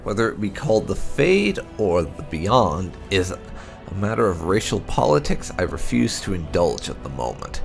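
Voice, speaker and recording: Guy reading game lore out loud, kinda low and gravelly, really animated, dramatic emphasis. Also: normal speed, faint music behind. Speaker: gaming video host. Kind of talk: expressive read-aloud narration of game lore.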